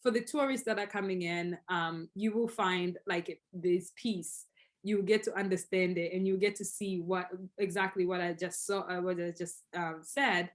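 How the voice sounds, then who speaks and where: A young Tanzanian woman, Swahili her first language, speaking as an interview guest in an animated, explanatory way with many fillers. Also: fairly low pitch, normal speed. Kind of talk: conversational interview.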